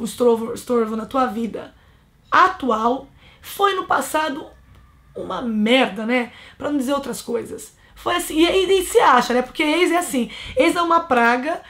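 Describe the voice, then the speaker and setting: Blunt, scolding woman vlogger. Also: sits high. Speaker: relationship advice vlogger. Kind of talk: casual advice monologue to camera. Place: small bedroom, indoors.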